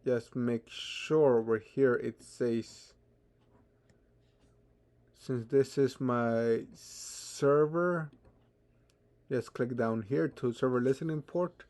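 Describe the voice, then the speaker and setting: A man giving an unscripted software tutorial in a halting way, often stammering and repeating his words as he goes. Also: medium pitch. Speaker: tutorial presenter.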